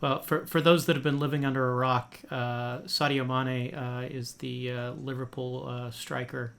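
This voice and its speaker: A man's voice: mid-range voice, level tone, moderate pace, gravelly, a bit bright. A man hosting a podcast interview in a friendly, casual way, with many fillers and restarts. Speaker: podcast host.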